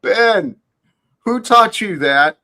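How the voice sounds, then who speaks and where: A man hosting a casual group chat, calmly pushing back and correcting the others. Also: high-pitched. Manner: calm, corrects others in a measured way. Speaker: host.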